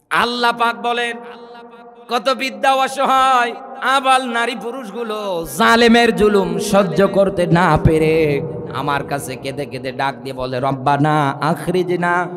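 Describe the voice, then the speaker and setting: A Bangladeshi man delivers an impassioned Islamic sermon in a high-pitched voice. He speaks as an orator, stacking repeated phrases and rhetorical questions and calling on listeners to answer. His words carry a long reverb, as if amplified in a large hall. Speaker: Islamic preacher. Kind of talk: impassioned religious sermon to a gathering.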